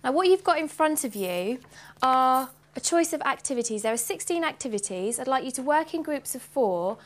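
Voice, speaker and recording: A woman's voice: high voice, animated, normal speed. A woman talking as a teacher, explaining things in an informative way. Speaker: English teacher. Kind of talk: explanatory interview talk and classroom instruction.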